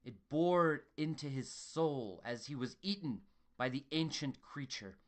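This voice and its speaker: A man's voice: a little high, expressive, measured pace. A man voicing the ominous narrator of a monster horror tale, reading in a grave, suspenseful way. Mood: grim suspense. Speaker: voice actor reading a horror story. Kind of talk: dramatic scripted horror narration. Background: music and added sound effects.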